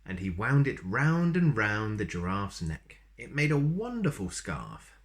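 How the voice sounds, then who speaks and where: A man with a fairly low voice tells a children's story. He takes his time and swings his pitch widely, keeping the reading expressive and adding small asides. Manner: unhurried, animated. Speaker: storyteller reading a picture book.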